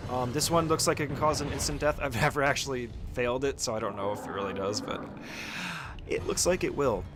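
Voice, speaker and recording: A man's voice: mid-range voice, brisk, over music. A man giving a casual, step-by-step speedrun tutorial as commentary, speaking informally and giving short instructions.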